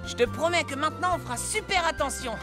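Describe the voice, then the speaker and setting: A woman's voice: high-pitched, fast, lightly acted. A woman voicing a cheerful young vehicle in a cartoon, bouncy and playful. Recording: cartoon soundtrack with loud music.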